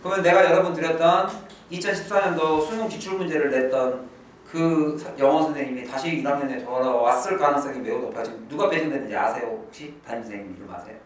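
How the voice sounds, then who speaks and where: A middle-aged male English teacher giving an exam-prep lecture to high school students, emphatic and lively, dropping to low asides. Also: fast talker, slight background noise. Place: academy classroom. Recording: echoey classroom from a distance.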